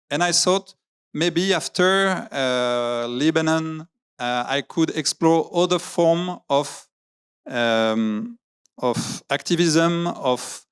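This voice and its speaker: A man's voice: fairly high pitch, smooth. A man giving a talk in English, hesitating often, searching for words and restarting his sentences. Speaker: graphic novelist giving a talk. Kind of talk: public talk with slides. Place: lecture venue.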